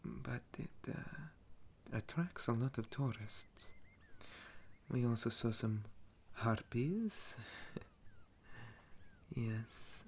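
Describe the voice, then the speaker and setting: A man voicing a gentle, centuries-old sun elf and retired soldier in an intimate ASMR roleplay, soft and breathy, often slipping into a whisper, with a hesitant storytelling manner. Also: mid-range voice, slight background noise. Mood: relaxed, musing. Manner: slow, hesitant, softly chuckling storyteller.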